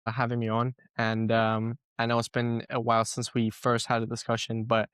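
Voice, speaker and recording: A man's voice: medium pitch, monotone, normal speed, fairly bright tone, clean tone, dry recording, clean studio sound. A man in his twenties with a South African accent, a guest telling his story in a casual podcast interview, chatty with many fillers and restarts. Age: 20s. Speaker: guest entrepreneur.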